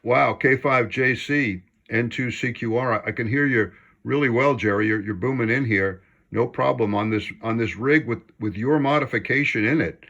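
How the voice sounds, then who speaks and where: A man's voice: mid-range voice, moderate pace, vocal fry, a bit bright, highs cut off. A man chatting casually as an amateur radio operator, enthusiastic and appreciative in manner. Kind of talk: casual ham radio conversation.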